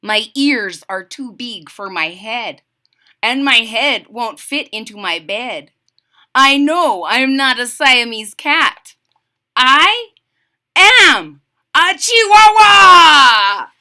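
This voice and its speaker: A woman voicing an excitable boy kitten who thinks he's a Chihuahua, squealing and sing-song, with a put-on Spanish accent. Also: high-pitched, very slow, exaggerated voice. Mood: exclaiming.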